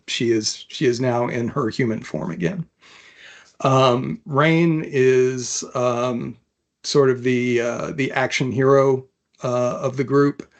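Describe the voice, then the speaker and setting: A man speaking as an author guest in a casual interview, halting and hesitant, often pausing mid-sentence. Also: medium pitch.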